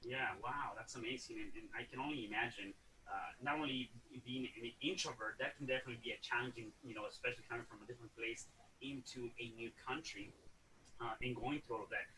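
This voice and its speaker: A man's voice: medium pitch, fast talker, sharp tone. A man from Mexico hosting a podcast, asking encouraging open questions and sharing his own story. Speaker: podcast host. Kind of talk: interview questions and reactions. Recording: distant mic with faint background noise.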